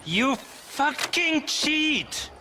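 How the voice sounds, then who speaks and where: Western game role: sore-losing German gambler, hot-tempered, high, slow, drawn-out delivery, faint noise behind. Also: male voice, sing-song, pushed and bright, full character voice. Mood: furious. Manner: belligerent, accusing. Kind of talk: video game voice acting.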